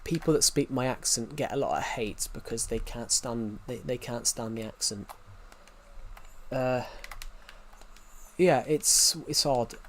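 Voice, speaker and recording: A man's voice: medium pitch, measured pace, slight background noise. A British man with a West Country accent, a streamer chatting casually with his viewers. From Great Britain. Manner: rambling, restarts and repeats phrases. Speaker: game streamer.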